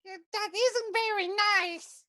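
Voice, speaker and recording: A woman's voice: extremely high pitch, steady tone, takes it slowly, bright, forceful tone, full character voice. A woman voicing a small, adorable, naive anchovy puppet with an innocent, questioning, childlike manner in a children's puppet show. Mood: glum. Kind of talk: children's puppet show acting, play reading.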